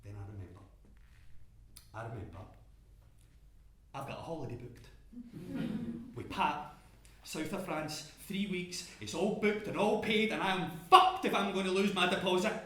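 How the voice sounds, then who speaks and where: An actor playing a gruff rig worker who fights to survive, swearing hard and swinging between despair and defiance. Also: male voice, high-pitched, very animated, unhurried, long pauses, fairly bright tone, very echoey, slight background noise, full character voice. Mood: brooding. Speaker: oil rig worker in a stage drama. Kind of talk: dramatic monologue acting.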